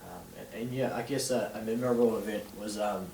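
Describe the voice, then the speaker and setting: Low-voiced young New Zealand man, hesitant. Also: fairly quick, gravelly, mellow, a little hiss or hum. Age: teens. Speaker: interviewee, young DJ and event organiser. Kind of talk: hesitant interview answers with many fillers. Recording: distant roomy camera sound with faint ambience.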